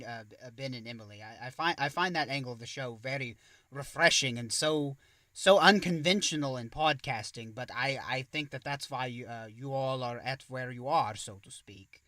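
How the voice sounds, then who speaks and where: A man's voice: mid-range voice, bright, forceful tone, dry recording. A man voicing a courteous vampire count who hosts an interview show, with a put-on Dracula-style accent and a wordy, hesitant way of talking. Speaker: podcast host and interviewer. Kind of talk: in-character interview.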